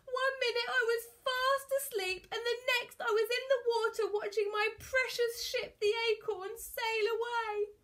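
A woman's voice: sits high, sing-song, measured pace, hardly any pauses, voice pushed up. A woman voicing a theatrical, blustering male pirate captain in a children's storybook. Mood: tearful distress. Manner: sobbing, blustering, theatrical exclamations.

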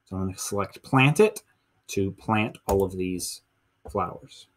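A man's voice: sits low, lively intonation, a little slow. A man in his thirties with an American accent, a teacher explaining a lesson step by step in an instructional screencast. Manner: step-by-step explaining, counting aloud. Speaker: middle school teacher.

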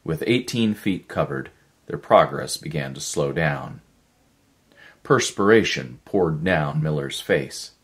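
A man in his fifties narrates a dramatic story. His voice is extremely low, and he takes his time, reading slowly and deliberately. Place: small living room with a stove.

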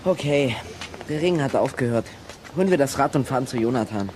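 A man's voice: medium pitch, expressive, brisk, noisy background, close to an everyday voice. A man playing a clever, sarcastic boy detective in a children's audio drama, speaking in a pedantic, dry way. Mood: focused.

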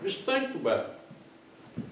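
Older guy pastor talking to kids real slow and folksy, echoey little church, faint hiss. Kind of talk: children's sermon. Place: small church sanctuary, seated among children. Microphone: far camera. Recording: roomy church sound from far off.

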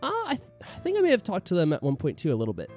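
A man's voice: on the high side, huge pitch swings, racing, a little mellow, faint music behind. A man hosting a gaming video in casual chat, rambling and a little self-deprecating. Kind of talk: casual chat over a game playthrough.

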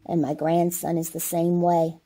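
A woman's voice: fairly low pitch, little pitch movement, measured pace, background noise, natural voice. A woman playing a feisty, blunt great-grandmother of ninety-six in an old-time radio comedy. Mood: chatty, sly. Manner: blunt, folksy.

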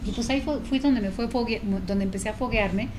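A woman's voice: fairly high pitch, brisk, background noise. A middle-aged Mexican woman from Veracruz, an author chatting about her writing in a small studio on a lapel mic. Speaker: author guest. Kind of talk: conversational interview. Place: small indoor TV studio set.

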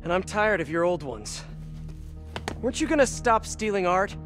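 Young male superhero, wry, earnest, animated pitch, quippy, game cutscene with music. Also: moderate pace. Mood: wry, flustered. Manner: quippy, conversational. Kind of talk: video game cutscene acting.

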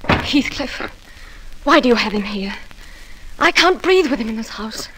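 Desperate lovesick wife in old radio drama, high emotional voice. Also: female voice, animated, measured pace, a bit bright, breathy edge, exaggerated voice, low-quality recording. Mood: pleading. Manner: emotional, theatrical. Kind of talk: 1940s radio drama acting.

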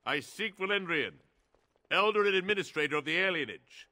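An actor playing a stern, authoritative older captain of the city guard in a fantasy game. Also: male voice, high voice, lively intonation, brisk, sharp tone, exaggerated voice. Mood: angry, commanding. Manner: authoritative, curt, commanding.

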